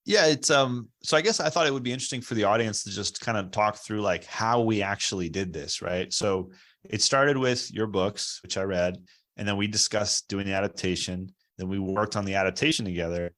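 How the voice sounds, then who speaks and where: A man's voice: fairly low pitch, a little fast, fairly bright tone. An American man hosting a casual interview, talking in a reflective, explanatory way. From Baltimore, US East Coast. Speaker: podcast host, producer.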